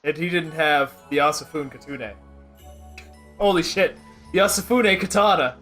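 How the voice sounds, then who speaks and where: A man with a slightly high voice co-hosts a casual gaming show and tells crude jokes in a halting, hesitant way. He pauses on fillers before landing his punchlines. Game music plays clearly in the background. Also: a bit bright. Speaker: show co-host, gamer. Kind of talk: casual gaming podcast chat.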